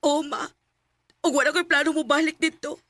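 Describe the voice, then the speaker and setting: High, bright-voiced long-suffering young wife. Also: female voice, fairly even intonation, normal speed, no room sound. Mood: resolved. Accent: Cebuano Filipino. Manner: emotive, plaintive. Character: long-suffering young wife, a dutiful daughter trapped in marriage. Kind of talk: Filipino radio drama acting with narration.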